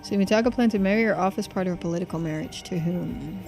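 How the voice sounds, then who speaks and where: A woman's voice: on the low side, fast talker, vocal fry, darkish tone, faint music behind. Woman streamer reading game text aloud.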